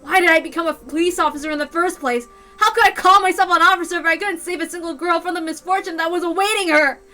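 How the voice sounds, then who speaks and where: A woman's voice: very high-pitched, fast, bright, forceful tone, voice pushed up. A woman voicing a guilt-ridden young male police officer in a dramatic story reading, impassioned and tearful. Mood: anguished anger. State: crying, howling. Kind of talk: dramatic reading of a visual novel.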